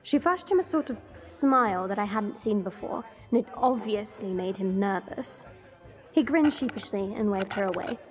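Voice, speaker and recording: A woman playing a haughty, irritable dragon princess who narrates her own story in a measured, scornful way. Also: mid-range voice, expressive, natural voice. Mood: brooding. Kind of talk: first-person story narration. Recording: music bed under the voice.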